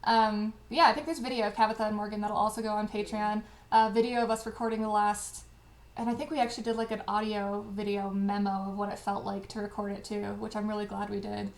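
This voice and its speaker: A woman co-hosting a casual livestream chat, speaking in an informal, animated way. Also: medium pitch, quick pace. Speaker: host, audio drama creator and dialogue editor. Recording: echoey room.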